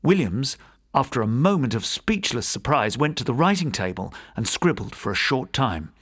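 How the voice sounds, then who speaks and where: Animated male storyteller, widely varied pitch. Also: slightly rough. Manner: measured, formal storytelling. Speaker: audiobook narrator. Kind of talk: scripted gothic story narration.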